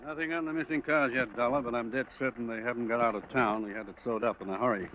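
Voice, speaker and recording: Man acting, hard-boiled police lieutenant, mid-pitched, brisk, clipped, commanding, 1950s radio drama, dull old recording. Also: steady tone, lightly acted. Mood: confident. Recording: dull vintage radio recording, faint noise.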